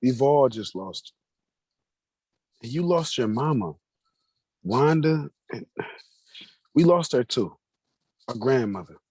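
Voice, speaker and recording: Stage drama reading: a man plays a gentle grown brother smoothing things over in a grieving family. He talks slowly in a husky, mid-pitched voice with a dry sound. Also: lively intonation, no echo. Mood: consoling.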